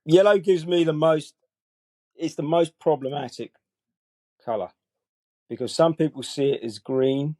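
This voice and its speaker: Relaxed, rambling man in his forties. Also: on the high side, measured pace, long pauses, no room sound. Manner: relaxed, rambling, many fillers. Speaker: guest colorist. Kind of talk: casual interview chat.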